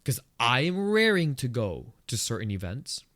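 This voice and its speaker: A man's voice: very animated, moderate pace, fairly bright tone, dry recording. Earnest Filipino-accented man, dry sound. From Philippines. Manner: earnest and conversational, with emphatic pitch jumps. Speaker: podcast host. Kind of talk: solo reflective podcast talk.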